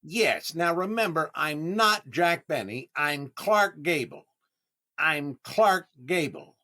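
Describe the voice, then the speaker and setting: An actor playing a vain, hapless comedian in 1930s-style radio comedy, with a deliberate, indignant delivery and dramatic pauses. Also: male voice, fairly high pitch, lively intonation, bright, forceful tone, heavily acted. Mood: insistent. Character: vain, hapless comedian desperate to prove his sex appeal.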